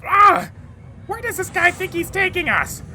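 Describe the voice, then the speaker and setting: A frantic male cartoon villain acted out by a woman, bumbling and theatrical. The voice is high, bright and strained, swinging widely as it rambles and exclaims. Faint ambient rumble sits behind it. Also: big pitch swings, measured pace, full character voice. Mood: panicked. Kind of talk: cartoon fan tribute monologue.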